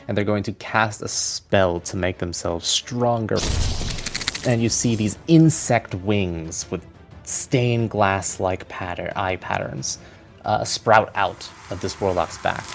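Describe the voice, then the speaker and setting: A man narrating a tabletop fantasy game as its game master. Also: low voice. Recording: music playing behind. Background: background music.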